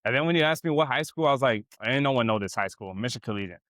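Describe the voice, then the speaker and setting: A young man from South Texas hosting a podcast in a barbershop, telling stories in slangy, animated casual chat. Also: medium pitch, fast talker, no room sound, clean studio sound. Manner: animated storytelling, slangy, says "bro" and "man" a lot. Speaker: podcast host.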